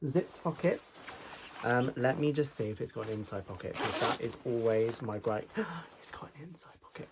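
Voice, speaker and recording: A young man in his twenties talks straight to his camera from a small bedroom. A head cold leaves him a touch nasal, and his chat wanders with fillers and self-corrections. His voice sits at a middle pitch, with a faint hiss in the recording. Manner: chatty, rambling, many fillers and restarts. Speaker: fashion vlogger. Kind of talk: casual try-on haul vlog. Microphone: camera mic, moving toward and away.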